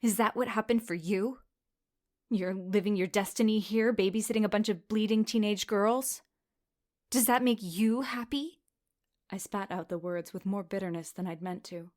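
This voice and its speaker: A woman's voice: mid-range voice, moderate pace, a little mellow, dry recording, clean studio sound, natural voice. A woman playing a wounded, sardonic narrator who recalls her schoolgirl self in a confessional first-person audio drama. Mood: resentful, questioning.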